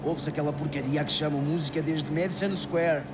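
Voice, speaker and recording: A man's voice: a little high, fairly even intonation, a little fast, very noisy, lightly acted. A man with a European Portuguese accent playing a grouchy, world-weary street-corner local in a comic dub, muttering complaints. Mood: grumbling.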